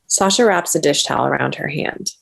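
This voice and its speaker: A woman reading the stage directions of a comedy at a table read with a lively, comic delivery. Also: low-pitched, a little fast, creaky. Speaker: narrator.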